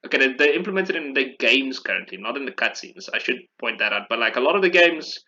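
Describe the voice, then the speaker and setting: A man's voice: medium pitch, sing-song, quick pace, sharp tone, lo-fi sound. A man chatting casually as a podcast host, rambling and correcting himself as he talks. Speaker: podcast host discussing video games. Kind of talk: casual chat.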